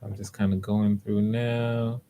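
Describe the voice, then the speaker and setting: A man hosting live online auditions, talking casually to viewers in an unhurried, explanatory way, thinking aloud as he goes. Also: fairly low pitch, flat delivery, warm tone, a little hiss or hum. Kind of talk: casual livestream talk to viewers.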